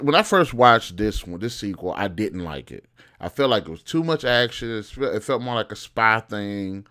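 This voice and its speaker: A man's voice: on the low side, fairly bright tone. A man hosting a review show with casual, opinionated commentary, speaking informally and often repeating and rephrasing himself as he goes. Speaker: host of a film review show.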